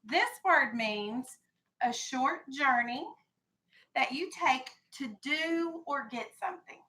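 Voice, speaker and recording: A woman's voice: high voice, lively intonation, slow, pushed and bright, low-quality recording. An American woman teaching a vocabulary lesson to young children in a classroom, instructing and prompting with questions and directions. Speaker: elementary school teacher.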